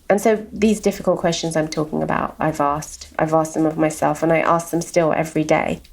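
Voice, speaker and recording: Earnest London woman, lowish voice. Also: gravelly, a little mellow. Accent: London, softened. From London, England. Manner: earnest, repeats words for emphasis. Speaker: podcast host. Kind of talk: reflective solo podcast monologue.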